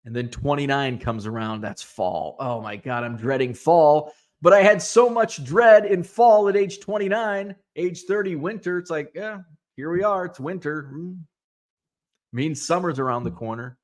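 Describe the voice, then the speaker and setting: A man's voice: on the high side, normal speed. A man in his forties, a sports card seller hosting his own show, thinking aloud in casual, rambling solo talk full of restarts.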